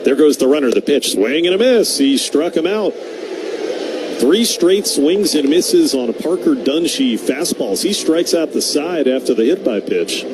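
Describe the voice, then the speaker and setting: An American man calling live baseball play-by-play on the radio and reading sponsor spots between pitches. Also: animated, measured pace. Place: ballpark broadcast booth. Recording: noisy live ballpark radio broadcast.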